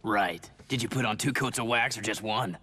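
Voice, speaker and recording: A man playing a tough, fearless racer hero in a video game, terse, dry and deadpan. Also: lowish voice, moderate pace, slightly rough, full character voice. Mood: dry, defiant. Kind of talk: game cutscene acting.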